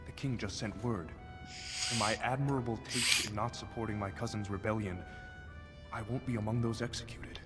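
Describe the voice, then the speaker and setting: A man's voice: on the low side, steady tone, moderate pace, over music, close to an everyday voice. An actor playing a sardonic dwarf nobleman in a fantasy video game. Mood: wry.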